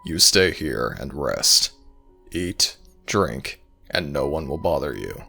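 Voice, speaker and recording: A man playing an ancient Egyptian god of the dead, gruff but caring, in a slow, intimate ASMR audio roleplay with formal, measured phrasing. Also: extremely low pitch, very animated, pushed and bright, faint music behind, heavily acted. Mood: soothing, reassuring. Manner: formal, measured, archaic phrasing, addressing a listener as child.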